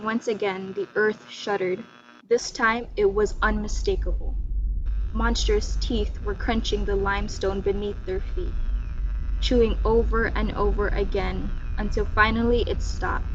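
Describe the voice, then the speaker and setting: A woman's voice: mid-range voice, steady tone, a little slow. A woman telling a scripted folktale as narrator with a dramatic storytelling delivery. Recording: muffled lo-fi, faint hum.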